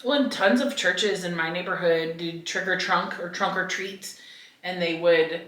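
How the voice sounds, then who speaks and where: An American woman chats informally as co-host of a faith podcast. Her voice sits slightly low, yet has a bright, forceful edge. The room adds a clear echo around her words. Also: measured pace. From American Midwest. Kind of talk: casual faith discussion. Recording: roomy echo.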